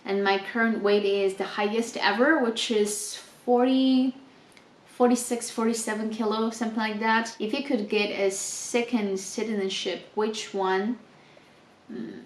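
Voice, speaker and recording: A woman's voice: mid-range voice, fairly even intonation, unhurried, a little hiss or hum. Chatty young woman vlogger, echoey room. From China. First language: Chinese. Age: 20s. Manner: chatty, reads questions aloud then answers with laughs. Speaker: travel vlogger. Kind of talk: casual unscripted Q&A.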